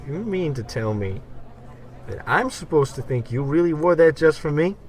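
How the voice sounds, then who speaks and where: A man playing a scrappy, smooth-talking wiseguy pal in slangy, wisecracking 1940s radio comedy style. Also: fairly high pitch, lively intonation, moderate pace. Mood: indignant.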